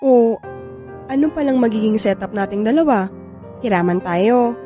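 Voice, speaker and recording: A woman's voice: a little high, lively intonation, moderate pace, music in the background, natural voice. A woman playing a grateful, affectionate young new mother in a sentimental, earnest radio drama. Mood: playful.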